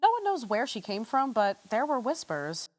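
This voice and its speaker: A sharp, brash newspaperwoman from an old radio play. Her voice sits high and leaps around in pitch. She talks in snappy slang with a sardonic edge. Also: big pitch swings, moderate pace. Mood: brisk, gossipy. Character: brash, wisecracking woman newspaper reporter, 1940s style.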